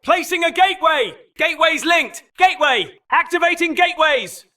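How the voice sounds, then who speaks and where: Piercing, high-pitched excitable game combatant. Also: male voice, normal speed, full character voice. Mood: excited, urgent. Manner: exuberant, punchy exclamations in short bursts. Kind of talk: shouted video game callout barks.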